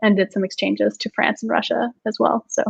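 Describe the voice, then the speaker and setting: A woman's voice: a little high, fairly even intonation, a little fast, gravelly, slightly rough, highs cut off. A young woman from Austria, a startup co-founder, chatting casually as an interview guest and filling her speech with "like". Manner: chatty, many "like" fillers, laughs often.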